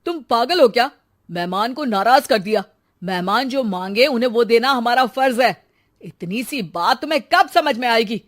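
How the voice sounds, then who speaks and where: A stubborn young husband in a cartoon, bossy and quick to scold, as a woman voices him. The voice sits a little high, and he gives orders without waiting for a reply. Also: expressive, natural voice. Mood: angry. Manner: scolding, commanding. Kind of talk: cartoon voice acting.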